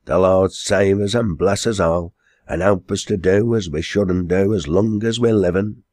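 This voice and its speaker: A man's voice: low voice, fairly even intonation, unhurried, darkish tone, no room sound, studio quality. Musing, sociable Northern English quarryman played by a man. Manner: dreamy, hearty, plain-spoken. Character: musing, sociable quarryman fond of his drink.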